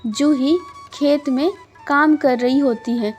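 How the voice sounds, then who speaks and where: A woman narrates a cartoon moral story in a gentle, measured way. Her voice is high and her pace slow and unhurried. Soft music runs faintly underneath.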